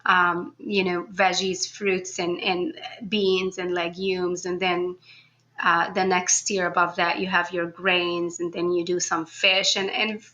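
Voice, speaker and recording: A woman's voice: on the low side, little pitch movement, slow pace, sharp tone, highs cut off. A woman from Egypt talking casually and informally as a guest in an interview, chatty and given to laughing. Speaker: podcast guest, food blogger.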